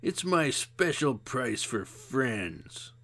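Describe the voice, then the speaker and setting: A man's voice: a little high, big pitch swings, measured pace, creaky, a bit bright, voice pushed up, full character voice. A man voicing a big, nasty older woman shopkeeper who snaps and sneers. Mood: sneering. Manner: sneering, snappish.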